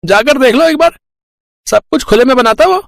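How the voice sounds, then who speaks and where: A man voicing a boastful, scheming male panipuri seller in an animated village story, pushy and loud. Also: very high-pitched, normal speed, gravelly, no room sound, clean studio sound, exaggerated voice. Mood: smooth-talking. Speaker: cartoon voice actor.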